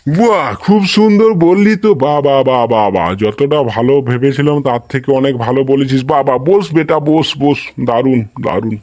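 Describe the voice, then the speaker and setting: A man's voice: medium pitch, lively intonation, moderate pace, hardly any pauses, a little mellow, close to an everyday voice. A man playing an encouraging village schoolteacher in a comic cartoon, repeating his words with emphasis. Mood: delighted. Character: encouraging male village schoolteacher, lavish with praise. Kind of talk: funny cartoon voice acting.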